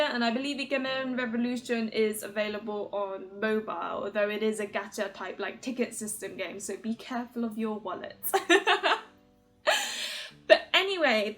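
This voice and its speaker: A woman with a fairly high voice talks casually to her viewers as the host of a fan video. Her manner is giggly and enthusiastic. She rambles informally and is quick to make fun of herself. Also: fairly bright tone. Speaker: video host, otome game reviewer. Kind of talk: casual chatty commentary to viewers.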